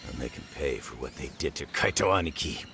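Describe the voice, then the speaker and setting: A man playing a gruff, hot-headed, loyal ex-yakuza in an English-dubbed crime game, with a blunt, defensive manner. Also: a bass voice, lively intonation, fairly quick, bright, forceful tone, soft background music, heavily acted. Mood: grim.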